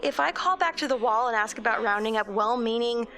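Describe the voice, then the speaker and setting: A woman playing a wry, headstrong field agent in an audio drama, brisk and sardonic. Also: on the high side, sharp tone. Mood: argumentative.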